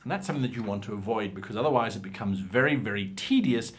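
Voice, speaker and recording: A man's voice: on the low side, expressive, fast. A man presenting role-playing advice in an instructional talk, with a theatrical, emphatic manner and frequent rhetorical questions.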